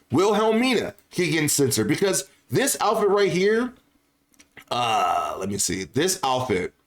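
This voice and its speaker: A man giving casual, opinionated gaming commentary to viewers, speaking in an informal, slangy way and repeating phrases for emphasis. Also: sits high. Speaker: gaming YouTuber.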